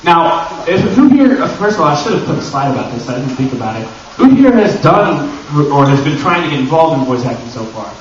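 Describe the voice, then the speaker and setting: A man with a slightly high voice presents an informal convention panel in a very echoey room. He is picked up from well away from the mic, with muffled sound and some noise. His delivery is animated and jokey, as he addresses the people in front of him. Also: fairly quick. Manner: animated, joking, acts out little imagined dialogues. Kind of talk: informal panel talk to a live audience. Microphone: camera microphone at a distance. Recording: echoey room, distant mic, dull noisy audio.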